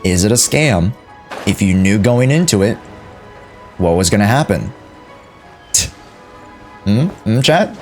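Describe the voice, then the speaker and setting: A male streamer talks casually to his live chat. He is slangy and joking, taking his time with drawn-out phrases over soft game music. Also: fairly low pitch, expressive.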